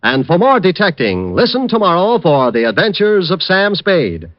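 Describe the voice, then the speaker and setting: A man's voice: high voice, huge pitch swings, normal speed, dry recording. A male radio announcer reading the closing credits and program notes in a formal manner. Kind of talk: scripted show announcement. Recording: dull lo-fi 1950s radio broadcast.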